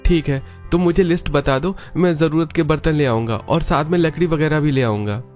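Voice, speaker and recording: A man's voice: on the high side, fairly quick, natural voice. A man playing an earnest, supportive young working husband in a cartoon story. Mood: helpful, practical. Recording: dull lo-fi track with music.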